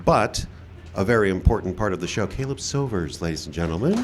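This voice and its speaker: A man's voice: deep voice, lively intonation, fairly quick, very noisy. A man hosting a live radio show outdoors, speaking like a showman with informal asides. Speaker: host and announcer. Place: outdoor park stage.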